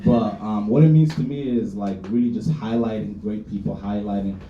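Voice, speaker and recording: A man's voice: on the low side, moderate pace, slight background noise. A young man from Minneapolis, speaking casually and with slang on a panel at a live event. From Minneapolis, USA. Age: young adult. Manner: relaxed, slangy, easygoing. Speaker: panel speaker, tech founder. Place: event hall with audience. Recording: echoey hall, dull lo-fi, from a distance.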